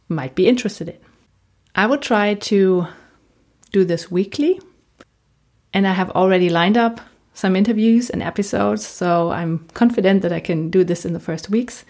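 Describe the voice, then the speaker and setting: A woman podcast host with a German accent and a slightly low-pitched voice. She is a native German speaker who works as a coach, and she talks directly to her listeners in a conversational solo presentation. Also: darkish tone.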